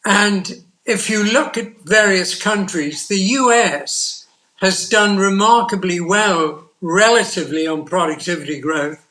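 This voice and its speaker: An older man from the United Kingdom talks slowly on a panel with a high, bright voice. He is measured and deliberate and pauses to find his words. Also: rough recording. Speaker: panel guest, economist. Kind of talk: panel discussion.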